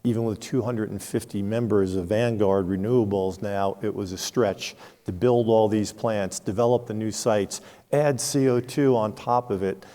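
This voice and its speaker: A man's voice: fairly low pitch, steady tone, normal speed, warm tone. A man speaking frankly on a conference panel, explaining with examples, recorded in a reverberant hall. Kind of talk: unscripted panel discussion.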